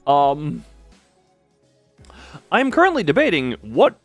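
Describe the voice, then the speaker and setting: Rambling man streamer with lively, higher voice. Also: slow, no echo, soft background music. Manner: rambling, joking, talks to chat. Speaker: game livestreamer. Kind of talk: casual livestream chat and commentary.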